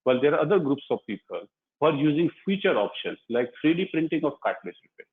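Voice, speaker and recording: A man's voice: fairly high pitch, sing-song, quick pace. Man giving a speech over phone-like audio. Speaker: surgeon and book author. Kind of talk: prepared launch speech. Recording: muffled, phone-like narrow-band online call audio.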